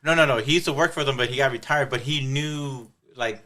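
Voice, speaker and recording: Texan man, bright forward tone, chatty storyteller for a casual podcast. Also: medium pitch, a little fast. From Texas. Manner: animated storytelling, quotes others. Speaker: podcast guest. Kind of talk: casual chat.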